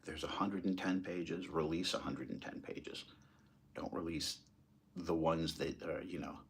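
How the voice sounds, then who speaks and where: An American man with a very low voice delivers impassioned commentary. His tone is husky and grainy. He talks in halting, emphatic phrases, as if working the argument out while he speaks. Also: fairly even intonation. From United States, moved there as a small child. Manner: halting, emphatic, rhetorical questions. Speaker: political commentator.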